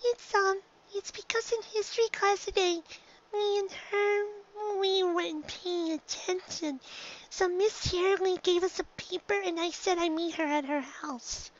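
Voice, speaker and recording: A woman's voice: very high-pitched, unhurried, dull audio, voice pushed up. A woman voicing an earnest, sweet little girl pony from a story, with a childlike, emphatic way of talking. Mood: sheepish, fibbing.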